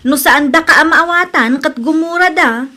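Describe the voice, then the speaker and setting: A woman acts as a sensible female cousin in a radio drama. Her voice is high, and she sounds concerned and firm. She has an Ilocano Filipino accent. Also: normal speed, sharp tone. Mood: concerned, advising.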